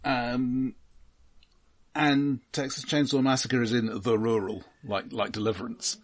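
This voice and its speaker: A man co-hosting a casual film discussion, explaining his points in a halting, measured way. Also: medium pitch, level tone. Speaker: film podcast co-host.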